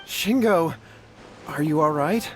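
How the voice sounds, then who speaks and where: A man's voice: a little high, animated, slow pace, faint music behind, poor audio. An actor playing an anxious, timid young man in a video game, earnest and somewhat whiny. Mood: concerned. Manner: earnest, whiny, easily panicked.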